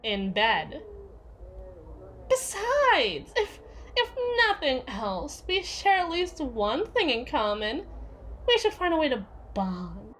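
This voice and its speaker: A woman's voice: high-pitched, sing-song, takes it slowly, a bit bright, slight background noise, full character voice. A woman playing a domineering, jealous yandere with biting sarcasm and a taunting, theatrical manner in an ASMR roleplay. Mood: mocking, sly. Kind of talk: ASMR roleplay audio drama.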